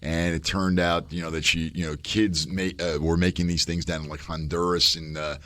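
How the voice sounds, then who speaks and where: A man's voice: low voice, flat delivery, moderate pace, fairly bright tone, dry recording. A middle-aged man, a professor guest on a radio talk show, explaining at length with fillers and restarts.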